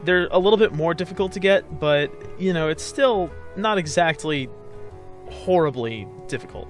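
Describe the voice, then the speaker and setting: A man's voice: fairly high pitch, expressive, normal speed. A young man giving casual, explanatory commentary as he plays a video game. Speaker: gamer hosting a let's play. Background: game music.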